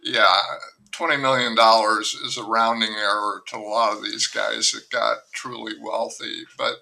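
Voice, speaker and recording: A man's voice: lowish voice, flat delivery, normal speed, creaky, pushed and bright, poor audio. An older man, a novelist being interviewed on a podcast, speaking frankly in a rambling way and often restarting his sentences. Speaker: novelist guest. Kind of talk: candid podcast conversation.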